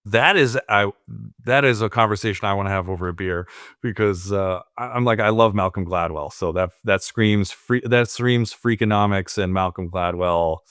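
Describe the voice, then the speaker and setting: A man's voice: lowish voice, normal speed, fairly bright tone, smooth, dry recording, studio quality. A man hosting a business podcast, introducing and questioning his guest in an upbeat, conversational way. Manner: upbeat, conversational with frequent fillers. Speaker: podcast host. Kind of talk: casual interview chat.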